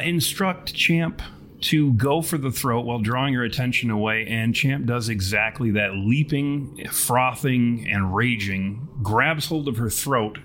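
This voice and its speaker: A man's voice: medium pitch, a bit bright. A man narrating a tabletop game as game master in a florid, theatrical way. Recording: noticeable background noise.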